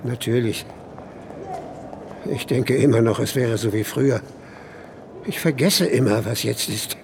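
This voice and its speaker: An actor playing a frightened, hard-of-hearing old man in a radio play, talkative, loud and plaintive. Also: medium pitch, a little slow, creaky, background noise. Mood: dejected. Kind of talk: German radio drama acting.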